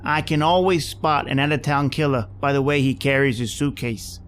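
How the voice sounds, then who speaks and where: A man's voice: mid-range voice, moderate pace, natural voice. Man as a breezy cabbie over car noise. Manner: familiar, casual. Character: breezy, nosy, street-wise male cab driver. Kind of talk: radio drama acting. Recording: clear vehicle noise behind voice. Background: car engine ambience.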